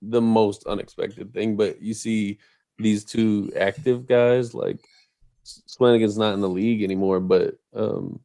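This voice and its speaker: Casting note: male sports podcast co-host, gravelly low voice, mellow and drowsy, dry recording. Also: steady tone, dry recording. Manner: drowsy, unhurried. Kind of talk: casual sports chat.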